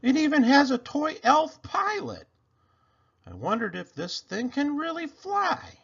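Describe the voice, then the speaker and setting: A man voicing an excited, wide-eyed young boy in a storybook, exclaiming eagerly. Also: very high voice, a little slow, creaky, muffled recording, voice pushed up, heavily acted. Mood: excited wonder.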